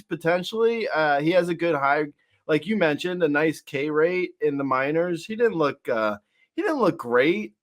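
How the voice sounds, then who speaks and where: A man hosting a sports podcast, chatting casually about baseball in a halting, wryly joking way with repeated words. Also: sits high, fairly bright tone.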